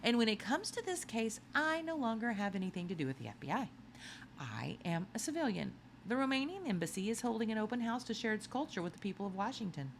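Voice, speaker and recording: A clever, determined young sleuth voiced by a woman reading a storybook makes each point with insistent emphasis. The delivery is very animated in pitch, over a faintly noisy recording. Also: fast. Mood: determined, persuasive. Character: clever, determined young narrator hero, eager amateur sleuth.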